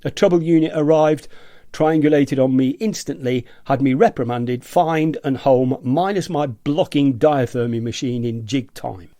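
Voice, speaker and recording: A man's voice: mid-range voice, moderate pace, warm tone, no room sound, natural voice. A man acting an agitated, eccentric office worker in rebellion against gadgets, in a theatrical radio play monologue, savouring his words and mimicking nagging voices. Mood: excited.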